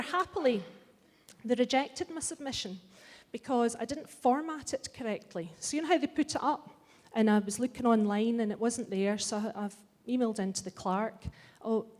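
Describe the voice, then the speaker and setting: A woman's voice: mid-range voice, darkish tone. Scottish woman speaker, blunt and a bit funny, passionate, big hall with long echo. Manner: blunt, wry, impassioned. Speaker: festival speaker. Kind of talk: speech to a live audience. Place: large hall.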